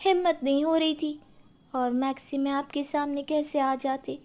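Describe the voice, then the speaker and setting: Very high-pitched shy young daughter-in-law played by an actress. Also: female voice, steady tone, moderate pace, a little mellow, natural voice. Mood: bashful. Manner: timid, pleading. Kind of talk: acted Hindi moral story.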